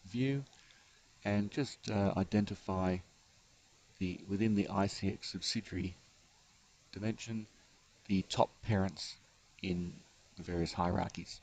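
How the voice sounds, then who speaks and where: A man giving an unscripted software tutorial, explaining step by step in a halting way as the developer. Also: fairly low pitch, low-quality recording. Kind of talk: unscripted screencast tutorial narration.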